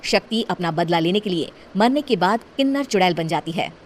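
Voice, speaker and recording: A woman storyteller reading scripted narration for an animated tale. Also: on the low side, fairly quick, a little hiss or hum. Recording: music behind the voice.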